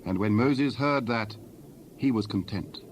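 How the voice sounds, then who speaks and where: A man narrating a dramatized scripture reading in a solemn, measured way. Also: lowish voice, slight background noise.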